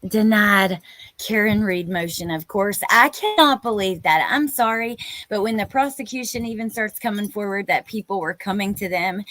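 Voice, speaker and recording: A woman's voice: medium pitch, fairly bright tone, dry recording. A woman in her thirties hosting a live stream, chatting informally and exclamatorily with viewers and reading out their comments.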